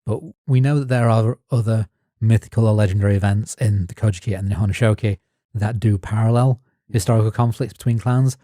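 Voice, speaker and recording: A man co-hosting a podcast, reading his prepared notes as measured narration. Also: lowish voice, fairly even intonation, warm tone, dry recording, studio quality. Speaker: podcast co-host. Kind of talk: scripted narration.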